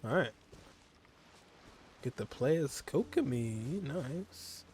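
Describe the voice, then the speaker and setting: A man's voice: mid-range voice, animated, extremely slow, darkish tone. A young man casually commenting while playing a video game, chatty with joking asides. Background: faint game music.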